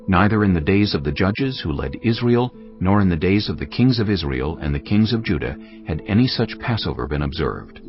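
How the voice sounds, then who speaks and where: A man narrating scripture in a dramatized audio Bible with grave, expressive delivery. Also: low voice. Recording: dull lo-fi with music underneath.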